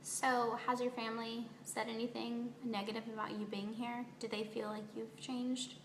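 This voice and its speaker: A woman's voice: a little high, flat delivery, moderate pace. A woman interviewer putting prepared questions to a guest. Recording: echoey room, faint background noise.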